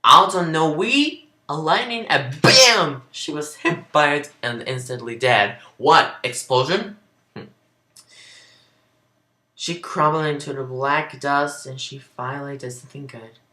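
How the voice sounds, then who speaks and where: Slow-paced man, bright voice in an echoey room. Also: on the high side. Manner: sarcastic, sweary, often breaking into laughter. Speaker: amateur reader of fan fiction. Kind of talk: dramatic reading with mocking asides. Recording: roomy, echoey home recording.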